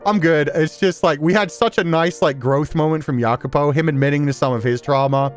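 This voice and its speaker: A man's voice: fairly high pitch, brisk, faint music behind. A man talking casually and emphatically as a streamer, rambling through commentary with slangy asides. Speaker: streamer reacting to a story game. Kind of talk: casual rambling commentary, reading and answering chat.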